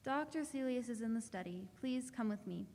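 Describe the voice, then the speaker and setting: A woman's voice: fairly high pitch, expressive, brisk. A woman playing a formal, dutiful servant in a radio drama, speaking courteously. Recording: noisy stage recording in reverberant hall.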